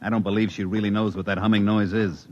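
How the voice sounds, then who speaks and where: Fast, curt security chief played by a man. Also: on the low side, little pitch movement, dull audio, close to an everyday voice. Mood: commanding. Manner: clipped, dismissive. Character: curt, dismissive male government security chief. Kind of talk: 1950s radio acting. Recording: slightly noisy old radio broadcast.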